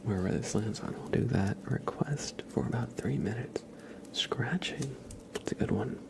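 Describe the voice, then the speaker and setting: A man whispering softly and breathily as an ASMR livestream host, chatting informally and directly to his viewers. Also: medium pitch, moderate pace. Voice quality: soft, breathy whisper with some creak.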